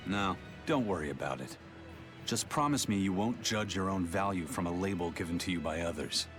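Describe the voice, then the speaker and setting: A man playing a stern, proud pirate in an anime-style video game, gruff, measured and serious. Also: low-pitched, lively intonation, over music. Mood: reassuring. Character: stern, steady, proud male pirate first mate.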